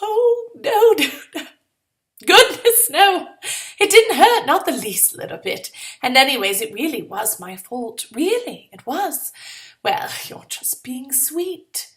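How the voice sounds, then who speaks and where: A woman's voice: high-pitched, big pitch swings, slow, fairly bright tone, voice pushed up, full character voice. A woman voicing a falsely sweet, gushing and over-polite young lady in story dialogue. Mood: falsely reassuring.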